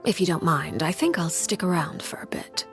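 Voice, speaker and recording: An actress playing a composed woman leader in a video game, formal, polite and unhurried. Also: lowish voice, lively intonation, whispered, soft background music, lightly acted. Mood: cool, gracious.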